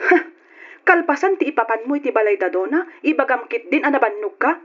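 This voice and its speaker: A nagging, wounded wife in an Ilocano radio serial. Her voice sits high as she delivers accusing lines. The recording is dull and lo-fi, like old radio. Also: lively intonation, brisk. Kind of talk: Ilocano radio drama acting.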